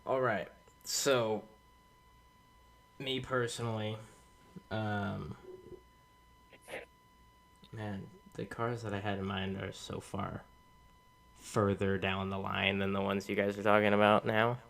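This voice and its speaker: A man's voice: lowish voice, level tone, slow pace, creaky, pauses often, fairly bright tone, poor audio. A young American man talking casually as a co-host on a podcast. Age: young adult. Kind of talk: casual chat.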